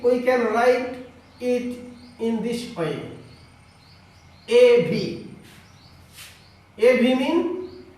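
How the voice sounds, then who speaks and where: Very slow talking guy teacher, high voice, explains grammar haltingly, super echoey. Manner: halting, instructional, with repeated check questions. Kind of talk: online grammar lesson, explaining to students. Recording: very echoey room, distant mic.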